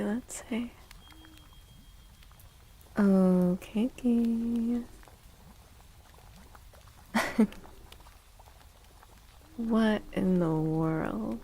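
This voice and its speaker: A woman livestream host chatting in a soft, gentle ASMR style close to the mic, drifting now and then toward a breathy whisper. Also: mid-range voice, poor audio. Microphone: close microphone.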